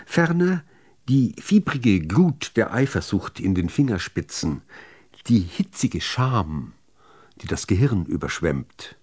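A man reciting literary poetry in deliberate, measured phrases with pauses between them. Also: lowish voice, big pitch swings, darkish tone, slightly rough. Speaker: reader of poetry.

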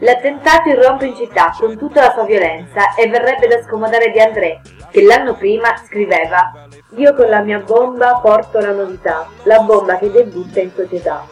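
A woman's voice: medium pitch, normal speed, soft background music, rough recording. A woman hosting a radio history programme, reading a scripted narration. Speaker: radio show host.

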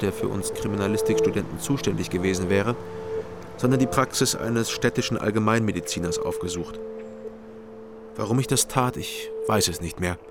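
Actor doing a weary ex-drunk detective, man in his thirties, lowish voice, dry narration, music behind. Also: natural voice. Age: 30s. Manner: dry, sober, reflective. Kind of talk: first-person crime narration.

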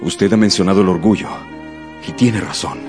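An actor playing a proud, reserved gentleman suitor in a radio drama, speaking formally and haltingly. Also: low-pitched, music in the background, natural voice. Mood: tormented, ardent.

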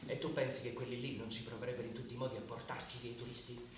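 An actor playing a domineering, pragmatic businessman brother in a radio drama, forceful and argumentative, pressing with questions. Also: mid-range voice, level tone, very fast, recorded from a distance, lightly acted. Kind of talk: naturalistic radio drama acting. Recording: very echoey room, off-mic, noisy radio recording.

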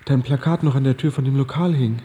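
An actor playing a lovestruck, laconic death-row killer in a German radio drama, speaking in an understated, wry way. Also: male voice, medium pitch, fairly even intonation, fast talker, mellow, long reverb tail, lightly acted. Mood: wistful. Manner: understated, matter-of-fact, wry.

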